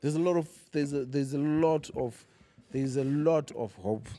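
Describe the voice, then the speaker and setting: A man, a comedian guest chatting casually and giving strong opinions with animated, storytelling delivery. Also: on the high side, normal speed. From Zimbabwe. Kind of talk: casual conversational interview. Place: lounge venue.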